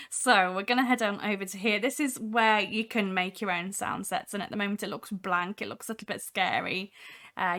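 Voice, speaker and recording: A young woman hosting a casual software demo livestream, talking from home with a voice made husky by a cold, chatty with many ums. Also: medium pitch. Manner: chatty, many ums, reads chat aloud. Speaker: livestream host.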